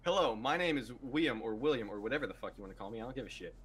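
A man's voice: medium pitch, very fast, a bit bright. A young man telling brash stories in a casual podcast chat and cursing freely. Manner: crude, brash storytelling. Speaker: podcast co-host. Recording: clear crowd chatter behind.

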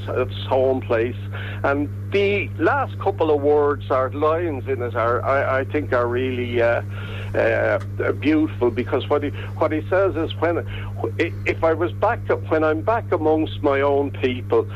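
A man's voice: medium pitch, a little hiss or hum. Hesitant Dublin man on a dull line. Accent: Dublin Irish. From Ireland. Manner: hesitant, many restarts. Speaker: guest. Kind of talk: casual radio chat.

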